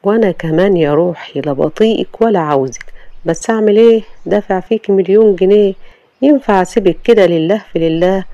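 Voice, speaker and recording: Narrator as a domineering husband, Egyptian accent, cold commanding tone, gravelly, dark. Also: male voice, sits high, brisk, dry recording, lo-fi sound. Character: arrogant rich husband, domineering and mocking.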